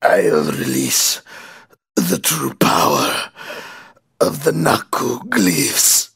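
A game villain, menacing, really gravelly and hoarse sounding, very slow. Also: male voice, mid-range voice, wildly swooping pitch, a bit bright, exaggerated voice. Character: menacing male villain unleashing ancient power. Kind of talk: video game villain acting.

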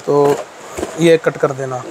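A wholesale shopkeeper, his voice quite high for a man. He talks in a relaxed, jokey way, with faint background noise behind him. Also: takes it slowly, poor audio. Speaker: wholesale shop owner. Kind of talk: casual chat.

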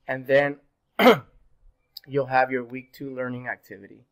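A man in his thirties, a little sick and hoarse, giving a pre-recorded online class as instructor, relaxed and full of fillers. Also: medium pitch, measured pace. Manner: relaxed, filler-heavy, repeats "go ahead". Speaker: university instructor. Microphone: webcam in corner of screen recording.

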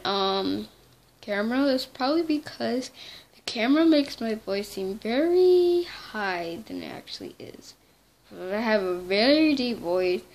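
A young teen girl chatting casually to her camera in a small dim bedroom, rambling and correcting herself as she goes. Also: mid-range voice. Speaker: vlogger. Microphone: device camera held close.

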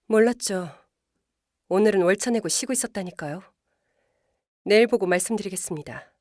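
A woman's voice: medium pitch, normal speed, dry recording, clean studio sound, natural voice. An actress in an audio drama playing a curt, blunt female team leader in her thirties. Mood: polite, flat. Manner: blunt, deadpan. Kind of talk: Korean audio drama acting.

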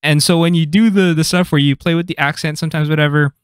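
A man's voice: fairly high pitch, fairly even intonation, fast, clear, resonant tone, dry recording. A young man with a slight Filipino accent, hosting a casual podcast chat from a small home room into a studio mic, reflective and loose with lots of fillers. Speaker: podcast host. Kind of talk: casual conversation. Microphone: studio mic on stand.

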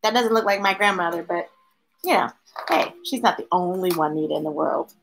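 A middle-aged woman reading a picture book aloud to children, expressive, with chatty asides to her young listeners. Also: on the low side, creaky, rough recording. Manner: expressive, drawn-out delivery addressing young listeners. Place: small indoor room with bookshelves.

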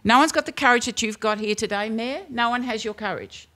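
A woman in her fifties with an Australian accent, giving an impassioned speech at a rally with a loud, booming voice and many rhetorical questions, speaking into a stand microphone at a lectern indoors. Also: fairly quick, a little hiss or hum. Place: indoor venue with brick wall.

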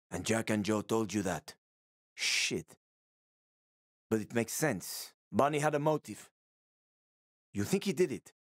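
An actor playing a wry, roguish middle-aged survivor in a video game, with a sardonic, slangy, casual way of talking. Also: male voice, mid-range voice, no room sound, clean studio sound, lightly acted. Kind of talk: video game character acting.

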